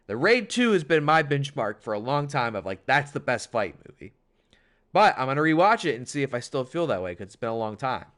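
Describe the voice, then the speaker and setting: A hoarse man commentating a wrestling video game on a stream, with animated, chatty hype. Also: fairly high pitch. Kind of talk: play-by-play commentary.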